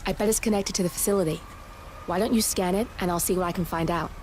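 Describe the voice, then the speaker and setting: A woman's voice: fairly low pitch, fairly even intonation, fast talker, a little mellow, faint music behind, lightly acted. A woman playing a jaded, blunt and sardonic hacker who briefs an assassin in a video game. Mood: wry, focused. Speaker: hacker handler briefing an agent. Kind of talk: video game cinematic acting, mission briefing.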